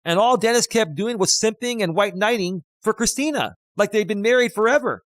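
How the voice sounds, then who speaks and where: Male life coach, 50, loud and emphatic, slightly high-pitched, dry close studio mic. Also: fairly quick, no echo. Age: 50s. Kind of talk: live stream commentary reading chat. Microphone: studio dynamic mic.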